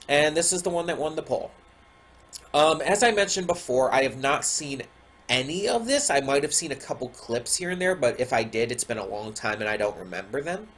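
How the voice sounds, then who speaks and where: A man's voice: mid-range voice, a bit bright. A man with a stuffy nose and a slightly sore throat, talking casually at home as a reaction video host, rambling with lots of ums. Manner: rambling, many ums and restarts. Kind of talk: casual chat to camera. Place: home room with a window.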